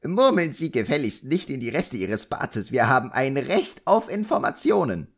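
A man's voice: mid-range voice, very animated, normal speed, pitched above the performer's own voice. A man voicing an indignant, demanding sorcerer in an audiobook, with a scolding manner. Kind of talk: audiobook character acting.

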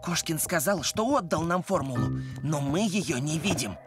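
A woman playing an earnest, clever schoolboy hero in an animated cartoon, explaining things seriously. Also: low voice, normal speed. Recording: loud cartoon music underneath.

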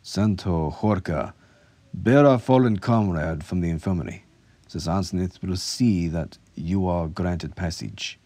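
Man voicing a stern, imperious officer, loud and raised. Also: sits low, measured pace, no echo. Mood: formal, commanding. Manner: formal, imperious. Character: stern, proud male officer with a cane, commanding.

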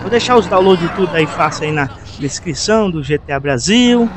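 A Brazilian man hosts a gameplay video in a high voice. He chats loosely, with slang and many little tag questions. Car and street noise from the game is clearly heard behind him. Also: lively intonation, fairly quick. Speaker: gaming video host. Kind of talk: casual gameplay commentary. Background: game traffic ambience.

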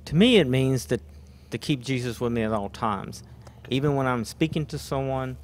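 A man giving soft-spoken, somewhat hesitant comments on a devotional panel. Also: medium pitch, animated, moderate pace, slight background noise. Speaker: church member.

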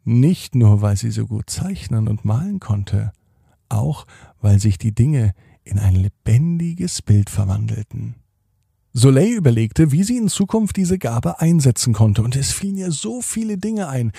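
A man reading a children's bedtime story in a calm, soothing way. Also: medium pitch, vocal fry, slightly rough, no echo, studio quality. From Germany. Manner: calm, soothing, slowing to a hush at the end.

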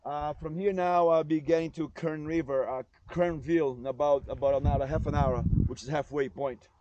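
A man's voice: a little high, fairly even intonation, normal speed, warm tone, muffled recording. A man narrating a travel vlog in a casual, rambling, informal way. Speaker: travel vlogger. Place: outdoors at roadside scenic stops. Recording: windy, noisy outdoor recording.

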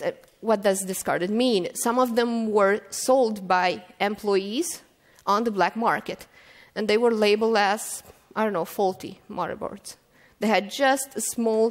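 A woman in her thirties giving a keynote at a lectern, telling stories at an easy pace with frequent ums and restarts. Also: medium pitch. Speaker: keynote speaker. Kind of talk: conference talk. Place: conference stage, indoors. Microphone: stand mic at lectern. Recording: reverberant hall.

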